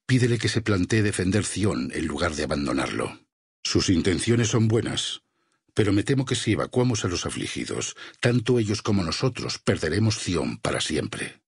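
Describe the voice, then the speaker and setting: Deep, raspy zealot warrior. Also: male voice, dry recording, studio quality, heavily acted. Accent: Castilian Spanish. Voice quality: raspy, gravelly. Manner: grave, measured, preacherly. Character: solemn, devout, burned missionary warrior with smoldering zeal. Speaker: video game voice actor. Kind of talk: dramatic game dialogue.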